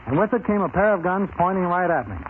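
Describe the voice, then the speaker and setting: A man's voice: high-pitched, expressive, quick pace. A plain-spoken man, a police officer guesting on 1930s radio, tells a scripted story over a tinny, hissy broadcast.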